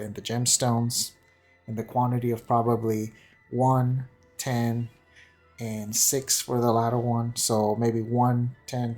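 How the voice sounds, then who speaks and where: A man giving casual, unscripted game commentary in a rambling, hesitant way, often repeating and correcting himself. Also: medium pitch. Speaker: gaming channel host. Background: background music.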